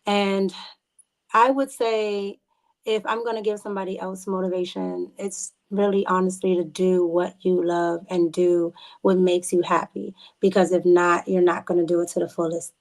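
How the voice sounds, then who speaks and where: A woman from the Bronx speaking as a podcast guest, chatting informally and haltingly, often repeating words. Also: lowish voice, little pitch movement, darkish tone, poor audio. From the Bronx, New York. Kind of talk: casual interview chat.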